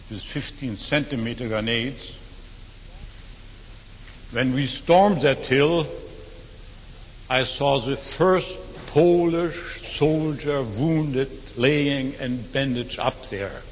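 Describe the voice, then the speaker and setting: Elderly man in his eighties, German native speaker, very slow deliberate delivery, reverberant hall, faint hiss. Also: mid-range voice. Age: 80s. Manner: slow, earnest, with emphatic swells and quiet drops. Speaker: war veteran speaker. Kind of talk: testimony talk to an audience.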